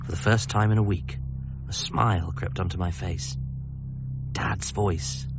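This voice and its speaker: An actor playing a wry, wistful British son, narrating a radio comedy drama in the first person with dramatic pauses. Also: male voice, low voice, steady tone. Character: bereaved ordinary son, wry and wistful storyteller. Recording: dull band-limited sound with music behind.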